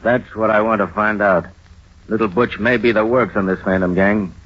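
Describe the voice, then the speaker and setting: A man's voice: fairly low pitch, fairly even intonation, moderate pace, slightly rough. A man playing a frustrated, hard-boiled police captain with punchy delivery in an old radio drama. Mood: frustrated, earnest. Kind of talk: 1930s radio drama acting. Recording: dull old radio recording.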